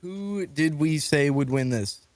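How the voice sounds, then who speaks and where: A man co-hosting a podcast, chatting casually in a jokey, excitable way. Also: on the high side, slow pace, a crowd in the background. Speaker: podcast co-host. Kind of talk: casual chat.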